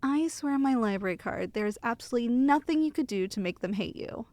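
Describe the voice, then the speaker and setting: A young woman acting as a teasing, affectionate girlfriend in an ASMR roleplay. Her voice is fairly high and swings freely up and down as she chats reassuringly to one listener. It is close-miked and very dry, with a playful, intimate manner. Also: lively intonation, fast talker, darkish tone, no room sound. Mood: chatty, reassuring. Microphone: close studio mic.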